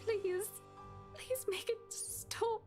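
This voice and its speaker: Very high, tearful pleading young woman. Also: a little mellow, faint music behind, full character voice. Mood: anguished pleading. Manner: tearful, pleading, drawn-out words. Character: terrified young woman in pain, pleading for rescue. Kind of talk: video game voice acting.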